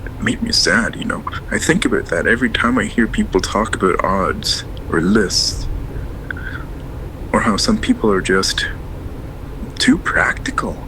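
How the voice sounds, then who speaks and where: Casting: male actor as quiet reflective caller, very low voice, clear background noise on the line. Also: measured pace, long pauses. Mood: subdued. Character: subdued man caller, former horse-barn worker.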